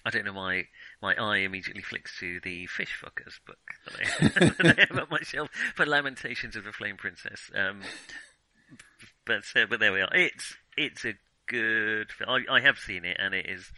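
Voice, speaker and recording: A man's voice: fairly low pitch, expressive, normal speed, bright, forceful tone, low-quality recording. A British man co-hosting a podcast, chatting casually about films in a reactive way with frequent agreeing interjections. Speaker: podcast co-host.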